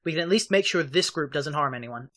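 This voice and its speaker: An actor playing a quick-witted, composed young male tactician in a fan audio drama, practical and orderly. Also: fairly high pitch, animated, fairly bright tone. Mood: composed, decisive.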